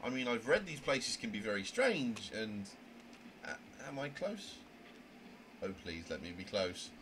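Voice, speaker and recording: A man's voice: on the low side, sing-song, a little slow, background noise. A man voicing a naive, chatty, prim young newcomer who narrates a visual novel in a wordy, rambling way. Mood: curious, rambling. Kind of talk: amateur visual novel voice acting, first-person narration. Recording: music under the voice. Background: music.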